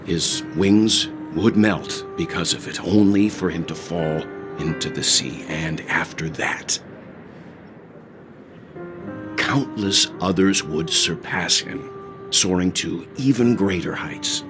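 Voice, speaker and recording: A man playing an earnest, philosophical traveler telling a story in a video game, deliberate and solemn with weighty pauses. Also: deep voice, over music. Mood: solemn, resolute.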